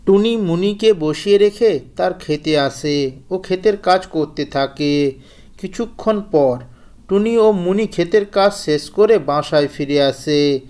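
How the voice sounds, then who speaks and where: A man's voice: high voice, measured pace, darkish tone, clear, resonant tone. A man reading scripted narration for a children's cartoon story.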